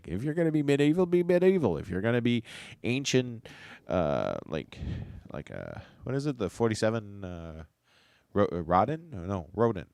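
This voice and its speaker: A man's voice: lowish voice, no echo, clean studio sound. A man hosting a casual solo livestream chat, sounding stuffed-up and a little nasal, rambling and chuckling as he loses his train of thought. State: stuffed-up nose. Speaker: livestream host.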